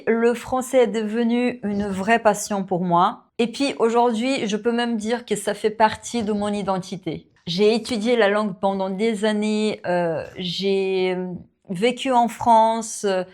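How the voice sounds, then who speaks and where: A woman who teaches French and hosts her own channel, answering viewer questions in a relaxed Q&A, with many fillers and small laughs. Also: medium pitch. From Brazil. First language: Portuguese. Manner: slow, warm, relaxed, with frequent fillers and little laughs. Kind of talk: casual Q&A to camera.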